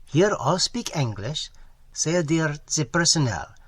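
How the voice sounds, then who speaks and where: A man's voice: on the high side, sing-song, a little slow, slightly rough, dry recording, pitched above the performer's own voice, full character voice. A man putting on a gushing French landlady. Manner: gushing, broken English sprinkled with French. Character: fussy stout middle-aged French landlady.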